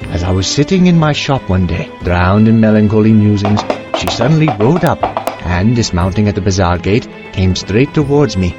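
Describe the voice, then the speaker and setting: Male narrator, lowish voice, dramatic storytelling, archaic formal diction, music playing behind. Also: lo-fi sound. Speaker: narrator playing a young merchant.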